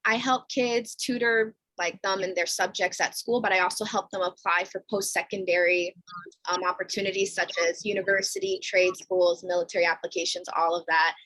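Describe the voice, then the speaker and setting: A woman's voice: on the low side, steady tone, moderate pace, fairly bright tone, rough recording. A young woman from New York City, chatty and informal, introducing herself as a guest author on a panel. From New York City, United States. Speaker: guest author and educational mentor.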